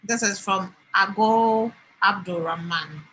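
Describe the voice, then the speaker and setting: A woman's voice: mid-range voice, takes it slowly, a bit bright, slight background noise, rough recording. A woman hosting a live question session, relaying audience questions and summing up answers.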